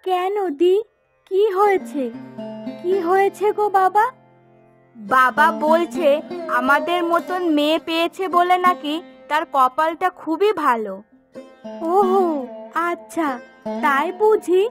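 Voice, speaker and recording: A woman's voice: very high voice, takes it slowly, long pauses, fairly bright tone, soft background music, exaggerated voice. A woman voicing a young, affectionate and outspoken village daughter in a cartoon moral story, coaxing and pert. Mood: concerned, curious.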